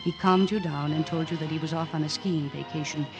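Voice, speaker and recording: An actress playing a composed, determined young woman psychiatrist in an old-time radio drama, speaking in a measured, articulate, earnest way. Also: deep voice, steady tone, lo-fi sound. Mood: urgent, accusing. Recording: restored vintage radio, orchestral music behind.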